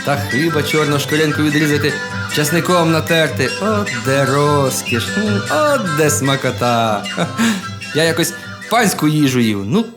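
A man telling a humorous folk tale in a colloquial, expressive, folksy manner. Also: a little high, unhurried. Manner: colloquial, expressive, with chuckles and exclamations. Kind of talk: folksy humorous oral storytelling. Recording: music behind the voice.

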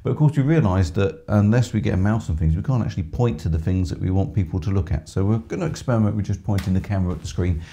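Hoarse man hosting a live shop stream. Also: low-pitched, fast talker, few pauses, warm tone. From England. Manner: chatty, many ums, reads viewer comments aloud. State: hoarse from screaming. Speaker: music shop presenter. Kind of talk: live stream chat reading comments. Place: small music shop studio room with keyboards. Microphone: headset with microphone.

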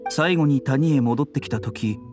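An actor playing a composed, courteous young male physician in an anime game, polite, measured and gently enigmatic. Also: medium pitch, over music, lightly acted. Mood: reflective, reserved. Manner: polite, measured, softly teasing.

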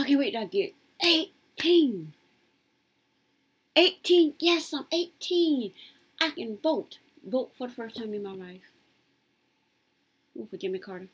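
A woman in her late fifties rambling through her life story in a casual, lively way. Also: breathy edge. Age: 50s. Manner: lively, swoops high when quoting others.

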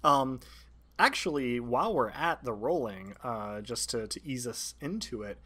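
Man, game master, mid-pitched voice, improvised narration, hesitant and deliberate wording. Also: lively intonation, bright, forceful tone.

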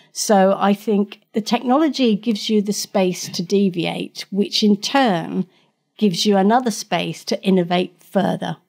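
Middle-aged woman, gravelly, chatty expert guest on a podcast. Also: mid-range voice, a little mellow. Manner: chatty, frequent restarts, laughs easily. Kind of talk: casual interview answers.

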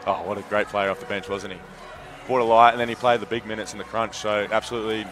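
A young Australian man talks quickly in a lowish voice, relaxed and upbeat, saying "absolutely" a lot. He speaks into a headset mic from courtside seats in an indoor gym after a game. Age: young adult. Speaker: college basketball player. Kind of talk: postgame interview.

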